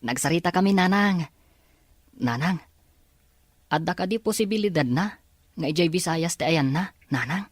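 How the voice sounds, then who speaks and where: A man's voice: a little high, expressive, normal speed, pauses often, dry recording, studio quality. A man with a Filipino accent acting an earnest, respectful young man in an audio drama. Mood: respectful, concerned. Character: earnest young man searching for his twin, respectful son.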